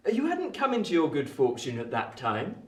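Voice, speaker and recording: An actor as an eager, polite young gentleman in a period radio drama. His voice is on the high side and very lively in pitch, with a touch of fluster. The room rings around him. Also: normal speed. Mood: chatty. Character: genial, apologetic, well-bred young English gentleman. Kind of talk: radio play acting of a Victorian novel. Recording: echoey room.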